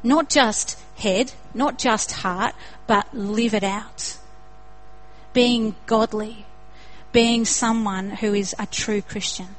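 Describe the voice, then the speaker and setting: A woman's voice: mid-range voice, steady tone, slow, poor audio. A young woman with an Australian accent preaching a sermon in an earnest way, building to emphatic repetition.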